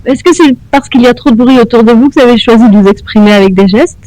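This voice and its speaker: A woman radio interviewer asking brisk, direct questions, on an old radio recording. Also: a little high, mellow, no room sound, poor audio.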